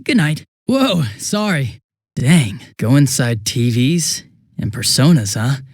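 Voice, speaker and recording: A man's voice: mid-range voice, sing-song, slow pace, no echo, studio quality, exaggerated voice. A man voicing a goofy, chatty, hapless young police detective in a video game, with a casual, flustered, rambling manner. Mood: reassuring, joking, then sympathetic, chatty. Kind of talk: English video game voice acting.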